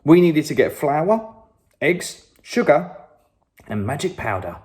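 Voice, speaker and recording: A man reading a children's story aloud, slow and expressive. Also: a little high. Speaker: story reader.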